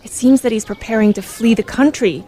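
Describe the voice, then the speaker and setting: A woman's voice: on the high side, a little fast, dark tone, close to an everyday voice. A woman playing an earnest, inquisitive teenage girl who narrates and talks in a formal, proper way in a radio play. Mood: baffled, observant. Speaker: narrator and sidekick.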